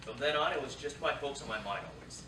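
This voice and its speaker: A man's voice: medium pitch, animated, fast, close to an everyday voice. An actor playing a shy, earnest young Hawaiian-born Japanese-American soldier, projecting as he reads wartime letters on stage. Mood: earnest, self-describing. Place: theatre stage, live staged reading. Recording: echoey theatre, distant mic, faint noise.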